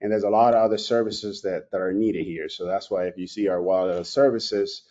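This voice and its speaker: Low-voiced man presenting over lo-fi video call. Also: fairly even intonation, fairly quick, mellow. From Puerto Rico. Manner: informal, with many fillers and restarts. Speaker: company president. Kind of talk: presentation at an online meeting.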